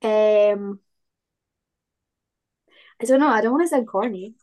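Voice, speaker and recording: A woman's voice: medium pitch, slow, a little mellow. A twenty-year-old woman chatting casually as a guest, candid and unpretentious. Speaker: interview guest, young farmers club member. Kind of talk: casual chat.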